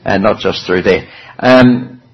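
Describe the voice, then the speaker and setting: A man's voice: fairly low pitch, takes it slowly, slightly rough, muffled recording. A man preaching a sermon in a church hall, talking in a chatty way with some self-deprecating humor.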